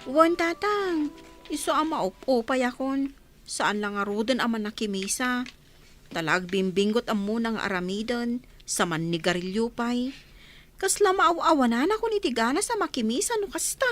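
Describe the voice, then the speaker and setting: An actress playing a devout, pleading young daughter in an audio drama. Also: female voice, on the high side, animated, moderate pace, faint music behind, natural voice. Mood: sad. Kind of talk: acted radio-drama dialogue.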